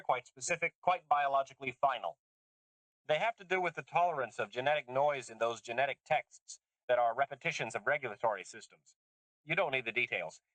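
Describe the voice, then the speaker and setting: A man voicing an older woman geneticist, bitter and intense, speaking emphatically in a raised pitch. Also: sharp tone, no room sound, lightly acted, poor audio. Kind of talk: audiobook character voice.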